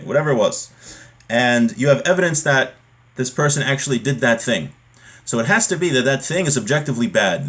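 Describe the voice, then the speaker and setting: Male religious teacher, medium pitch. Study-lesson delivery: reads the source, explains it, rephrases for clarity. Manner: explanatory, repeats and rephrases points.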